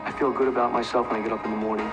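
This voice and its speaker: A man's voice: medium pitch, little pitch movement, rapid-fire. A man giving a casual customer testimonial in a commercial. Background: music.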